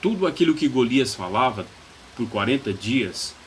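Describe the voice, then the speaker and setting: A man's voice: mid-range voice, sing-song, normal speed, a little hiss or hum. A man with a Brazilian accent preaching motivationally as a pastor and coach, emphatic, repeating key phrases and asking rhetorical questions.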